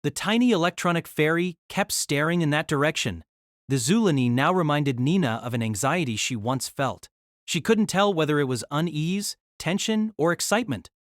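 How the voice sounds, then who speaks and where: Female narrator for novel audio drama. Lively, varied intonation, dramatic storytelling, dry close sound. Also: low voice, normal speed, no echo.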